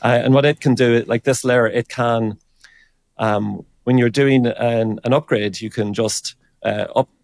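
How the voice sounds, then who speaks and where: A man explains technical matters conversationally, with a creaky edge to his voice. He talks in a halting, informal way, working out his thoughts aloud, and the sound is close and dry. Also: mid-range voice, steady tone, a little mellow, no room sound. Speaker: engineering director, interview guest.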